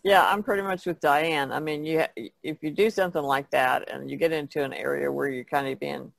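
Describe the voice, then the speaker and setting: A woman's voice: sits low, fairly even intonation, brisk, gravelly, dry recording. A woman speaking plainly and matter-of-factly as an artist in a casual podcast discussion.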